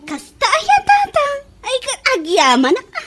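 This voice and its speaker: A woman's voice: very high voice, huge pitch swings, measured pace, very noisy, exaggerated voice. A woman playing a fussy, girlish young mother in an Ilocano-accented comedy radio drama, exclamatory and gossipy. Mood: pleased, bashful. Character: girlish young working mother fussing over her hair.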